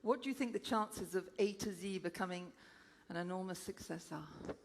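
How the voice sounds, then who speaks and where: A woman's voice: lowish voice, normal speed. A woman hosting a launch event who moderates questions in a playful, teasing way. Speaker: event host. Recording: reverberant hall.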